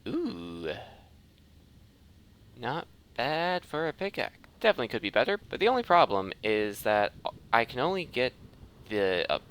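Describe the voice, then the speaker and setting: A man's voice: lowish voice, fairly bright tone, a little hiss or hum. A man giving casual gameplay commentary, rambling and thinking aloud as he plays. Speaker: gaming video host.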